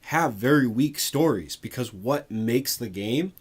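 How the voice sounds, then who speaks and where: A man co-hosting a casual discussion, reasoning out loud in an informal way and leaning on "like". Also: medium pitch, expressive, measured pace, husky. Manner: informal, frequent "like" while reasoning. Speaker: co-host. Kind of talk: casual discussion of films and games.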